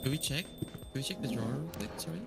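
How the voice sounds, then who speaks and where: A man's voice: fairly high pitch, lively intonation, fast, gravelly, over loud music. A man chatting casually with friends, hesitant and restarting, full of "like". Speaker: co-player. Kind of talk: casual chat.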